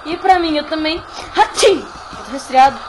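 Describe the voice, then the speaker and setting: A woman with a Brazilian Portuguese accent voicing an excitable, whiny, giggly child in an improvised Minecraft roleplay. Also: sits high, normal speed, slight background noise, low-quality recording.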